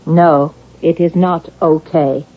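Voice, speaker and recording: A woman acting in a vintage radio drama as an insistent psychiatrist, measured and deliberate as she questions a suspect. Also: sits low, steady tone. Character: probing, insistent psychiatrist questioning an accused killer. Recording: dull old radio drama recording.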